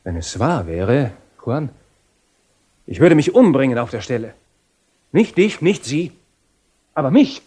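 A man's voice: medium pitch, very animated, unhurried, long pauses, warm tone, husky. A man playing a loyal, anxious young friend in a German radio drama, urgent and pleading in a theatrical way. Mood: shocked, hurt.

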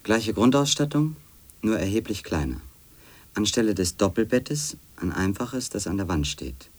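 An actor playing a brooding, insistent former magazine editor in a measured, introspective German radio play style. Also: male voice, fairly low pitch, lively intonation. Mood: brooding, observant. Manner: measured, literary, introspective narration.

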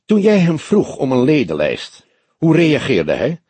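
Guy doing an old detective in an audiobook, laconic and unhurried, bit of a husky voice, dry sound. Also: a little high, huge pitch swings, darkish tone, no room sound, voice pushed up, full character voice. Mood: pensive, probing. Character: older grey-haired male detective, laconic, unhurried, thoughtful.

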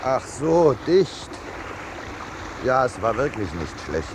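An actor playing a peace-loving landscape painter, courtly and dryly ironic, in a 1950s radio play. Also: male voice, mid-range voice, very animated, slow. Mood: dry amusement. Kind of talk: 1950s German radio play acting with narration. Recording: noisy 1950s radio drama recording.

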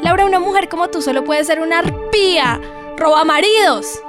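A woman's voice: very high voice, normal speed, over music. A woman playing an indignant young ex-girlfriend with a Colombian accent, melodramatic and confrontational, in a radio soap. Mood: outraged. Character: indignant, fiery young ex-girlfriend, quick to accuse. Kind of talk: radio soap opera acting.

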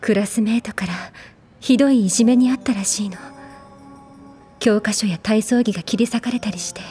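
Woman acting a worried elder sister, higher-pitched. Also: brisk, mellow, faint music behind, natural voice. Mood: hesitant, confiding. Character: troubled, caring adult elder sister confiding family history. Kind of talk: audio drama dialogue.

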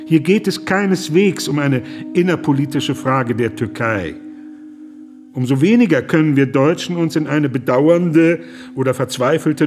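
A man in a radio drama playing an earnest German pastor who argues gravely, formally and with emphatic indignation. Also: a little high. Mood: indignant, urgent.

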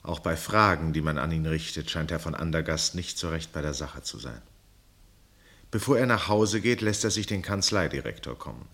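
Very deep-voiced male narrator. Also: a bit bright. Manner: measured, sober, literary. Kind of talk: scripted narration for radio drama.

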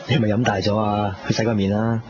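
A man's voice: on the low side, level tone, quick pace, a little hiss or hum, close to an everyday voice. An actor with a Hong Kong accent playing a reserved, melancholy young man in a radio drama, in a subdued manner. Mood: guarded, weary. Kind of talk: radio drama acting with reflective monologue. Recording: muffled 1990s radio recording, loud music behind.

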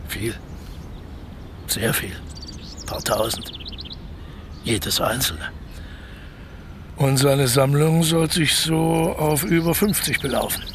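An actor in a naturalistic radio play playing a sly, embittered male ex-convict, speaking deliberately and often dropping close to a whisper. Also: mid-range voice, creaky, a little hiss or hum. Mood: coolly confident. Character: scheming, embittered ex-convict man plotting a lucrative burglary.

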